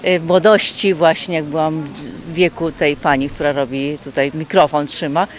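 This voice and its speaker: A woman's voice: low voice, steady tone, normal speed. An older woman from the audience, nervous and heartfelt, hesitant as she speaks in a street interview.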